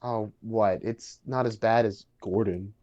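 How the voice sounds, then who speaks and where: Man acting awkward young man, chatty, unhurried, stammering, casual script reading, dull lo-fi sound. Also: lowish voice, steady tone, a little mellow, natural voice. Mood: awkward, sincere. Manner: hesitant, stammering. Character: awkward, chatty young man named Bartram on a blind date.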